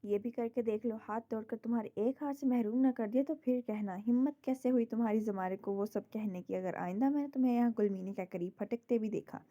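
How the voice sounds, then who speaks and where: A woman's voice: a little high, brisk, few pauses, dark tone, natural voice. A woman voicing a fierce protective heroine. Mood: threatening. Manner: scolding, defiant.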